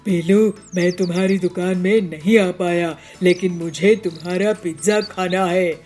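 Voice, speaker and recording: A woman's voice: lowish voice, steady tone, a little slow, a little mellow, faint music behind. A woman voicing a domineering, menacing male bird tough guy in a cartoon, blustering and demanding.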